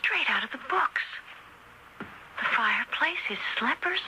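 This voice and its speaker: An actress playing an excitable, anxious young woman in a radio mystery drama, full of breathless wonder. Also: sits high, a little slow, full character voice. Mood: amazed. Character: excitable, anxious young wife, wide-eyed houseguest. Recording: noisy radio drama recording.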